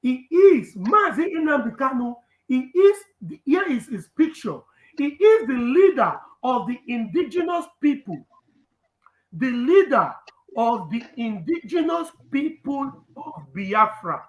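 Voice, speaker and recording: A man's voice: extremely high pitch, very animated, a little slow, roomy sound, poor audio. A man with a Nigerian accent, a native Urhobo speaker, giving an impassioned live speech that repeats phrases for emphasis. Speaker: activist speaker.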